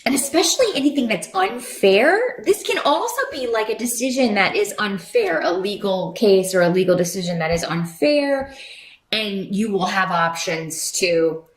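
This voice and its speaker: A woman's voice: medium pitch, lively intonation, moderate pace. A woman giving an unscripted tarot reading to viewers in a conversational, rambling, reassuring way. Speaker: tarot reader and channel host. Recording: roomy echo.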